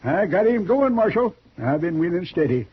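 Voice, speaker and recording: An actor playing a cocky, eager old cattle buyer with a raspy voice in a 1950s radio western. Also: male voice, high voice, big pitch swings, normal speed, exaggerated voice. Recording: muffled old radio recording.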